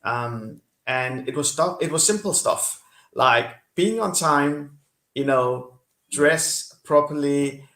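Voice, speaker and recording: Gravelly man with a Danish-tinged accent. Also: on the high side, slow pace, a bit bright, roomy sound. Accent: Danish-influenced. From Kenya. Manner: earnest, many fillers and restarts. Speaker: guest, company CEO. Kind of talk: interview over video call.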